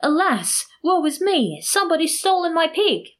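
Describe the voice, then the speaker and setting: A woman voicing a distraught, gullible man in a storybook, protesting with loud wails. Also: on the high side, very animated, brisk, voice pushed up. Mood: wailing. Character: mean, gullible, distraught man robbed of his pig.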